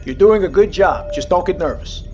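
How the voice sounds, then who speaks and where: A seasoned submarine captain in an audio drama, over clear background music. His voice sits a little high. He is calm, commanding and quietly resolute. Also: moderate pace. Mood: calm, reassuring. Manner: commanding, steady, reassuring.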